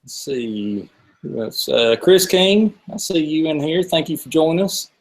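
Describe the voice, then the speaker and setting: A man's voice: fairly high pitch, measured pace, rough recording. A man in his forties with a Southern US accent, hosting a live stream in a casual, rambling way that circles back over his words. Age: 40s. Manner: rambling, repeats himself. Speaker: host of a fishing live stream.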